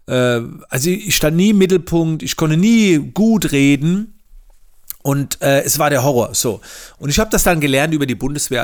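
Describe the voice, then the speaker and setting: A man with a regional German dialect hosting a solo podcast, talking casually with many hesitations and repeated words. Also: mid-range voice. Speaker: podcast host.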